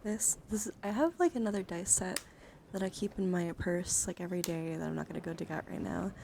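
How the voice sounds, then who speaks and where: A soft-spoken woman doing a casual ASMR live stream, often slipping into whispers and rambling informally, with playful asides. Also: on the low side, fairly quick. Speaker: streamer, host of a live card-collection stream. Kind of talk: ASMR, casual live show-and-tell with chat. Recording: clear background noise and handling sounds.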